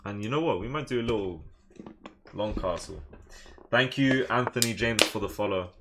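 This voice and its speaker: A man's voice: lowish voice, moderate pace, fairly bright tone. A man with an English accent, streaming a game casually, half thinking aloud to himself and half chatting to his viewers. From England. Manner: muses moves half to himself, chats to viewers. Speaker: live streamer playing online chess.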